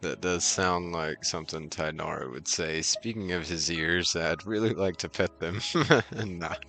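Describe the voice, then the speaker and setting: A man with a very deep voice and a bright edge chats casually as he streams a game. He is laid-back and halting, taking his time over words, with faint music behind him. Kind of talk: casual livestream chat over gameplay.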